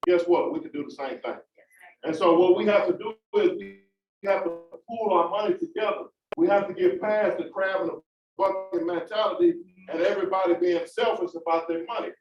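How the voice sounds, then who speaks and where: A man speaks out with fervent, repetitive emphasis, his voice pitched high. He is caught from a distance in a roomy hall on a dull, muffled recording, with a faint hiss behind him. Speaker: formerly incarcerated community speaker. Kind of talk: impassioned panel remarks. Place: large meeting room.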